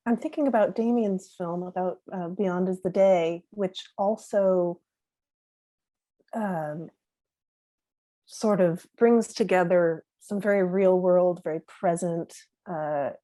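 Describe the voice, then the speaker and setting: A moderator poses long, considered questions to filmmakers, pausing on fillers as she builds her thought. Her voice is lowish and mellow, recorded dry and close. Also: no echo. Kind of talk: moderating a festival Q&A.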